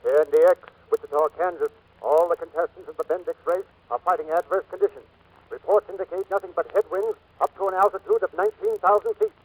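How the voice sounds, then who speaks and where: An actor playing an urgent radio newscaster in 1940s announcing style. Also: male voice, high-pitched, fairly even intonation, fast talker, a little mellow, lightly acted. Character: urgent male radio newscaster reporting an air race. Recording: faintly noisy 1940s radio recording.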